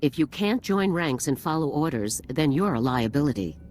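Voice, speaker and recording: A woman's voice: low-pitched, lively intonation, moderate pace, a little mellow, slight background noise. An actress playing a strict, no-nonsense female military officer in a video game, stern, clipped and formal. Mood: stern, businesslike.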